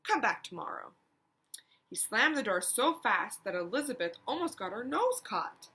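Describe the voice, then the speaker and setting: Woman doing kids read-aloud, super lively pitch, bright voice, chats about the pictures. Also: normal speed, husky. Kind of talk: expressive children's picture book read-aloud with asides.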